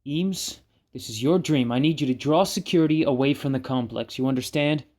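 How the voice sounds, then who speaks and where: An actor playing the commanding leader of a dream-heist crew in a fan audio drama. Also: male voice, mid-range voice, brisk, darkish tone, lightly acted.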